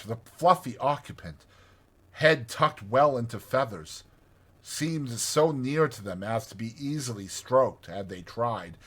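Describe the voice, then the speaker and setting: A man narrating a classic storybook in an expressive, dramatized reading with deliberate phrasing. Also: medium pitch, breathy edge. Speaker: audiobook narrator.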